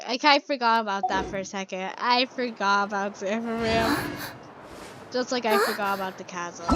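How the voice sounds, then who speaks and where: A woman giving casual live gameplay commentary in an excitable, slangy, rambling way. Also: fairly high pitch. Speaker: game streamer. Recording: game music behind voice. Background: game music.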